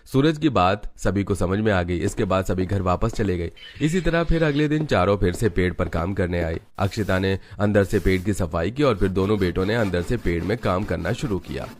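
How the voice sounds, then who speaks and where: A man's voice: low voice, expressive, moderate pace, slight background noise. A man narrating a family story in a scripted storybook style.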